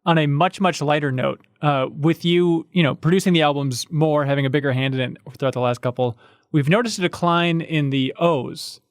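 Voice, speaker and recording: A man's voice: on the high side, brisk, dry recording, studio quality. A man hosting a podcast, asking loose, joking questions with plenty of fillers. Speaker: podcast host. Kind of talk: casual interview questions.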